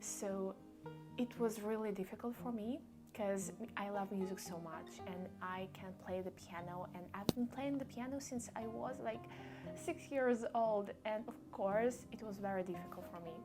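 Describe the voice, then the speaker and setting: A young woman, a native Russian speaker with a Russian accent, tells a personal story casually to camera, haltingly searching for words. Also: mid-range voice. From Belarus. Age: young adult. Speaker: vlogger. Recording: music behind the voice.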